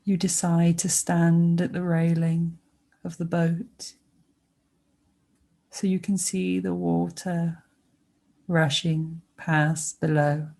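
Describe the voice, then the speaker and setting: Woman, sleep meditation narration, soft relaxed tone, even and flat intonation, gentle, pausing between short phrases. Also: lowish voice, gravelly. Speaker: meditation guide. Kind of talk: guided sleep meditation, soothing scripted narration.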